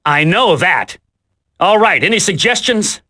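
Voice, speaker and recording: A man's voice: sits high, sing-song, measured pace, bright, forceful tone, heavily acted. A man voicing a brash, impatient squad leader in a video game, slangy, wisecracking and bossy. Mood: bossy, urgent.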